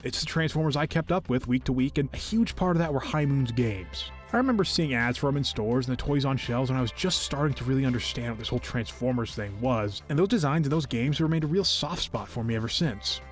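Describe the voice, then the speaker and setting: A young man narrating a review in a casual, expressive, enthusiastic and conversational way. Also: mid-range voice, fast, hardly any pauses. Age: young adult. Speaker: video host, toy collector. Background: music.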